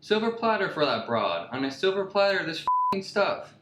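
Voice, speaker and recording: A man's voice: sits high, sing-song, normal speed. A man playing a defensive, profane, swaggering husband in a satirical radio play, emphatic and repetitive, throwing questions back. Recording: echoey room with loud noise.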